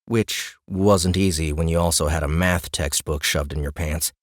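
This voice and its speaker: A man narrating a fantasy audiobook in a wry, expressive storytelling manner. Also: rumbling low, normal speed, no room sound, clean studio sound.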